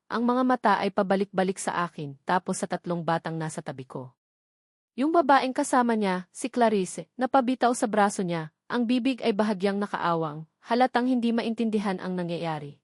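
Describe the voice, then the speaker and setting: A woman's voice: mid-range voice, a little mellow, dry recording, studio quality. A woman narrating a scripted, first-person dramatic love story as a storyteller.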